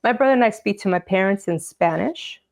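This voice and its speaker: A woman's voice: mid-range voice, animated, brisk, creaky. Chatty woman telling stories. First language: Portuguese. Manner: chatty storyteller, lots of "like" and "you know". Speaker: podcast guest. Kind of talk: casual interview chat.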